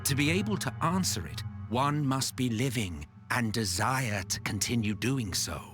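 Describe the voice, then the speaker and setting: An actor playing an imperious, sceptical ancient official in a game cutscene, speaking in a formal, theatrical way. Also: male voice, mid-range voice, lively intonation, normal speed, a bit bright, faint music behind, close to an everyday voice. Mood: probing, sceptical. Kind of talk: video game cutscene acting.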